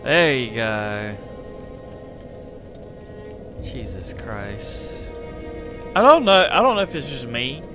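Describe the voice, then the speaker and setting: A man's voice: medium pitch, animated, slow, long pauses. A man with a Southern US accent giving casual, blunt game commentary. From southern United States. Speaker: gaming streamer. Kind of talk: casual game commentary, some reading aloud. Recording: game music behind the voice. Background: loud game music.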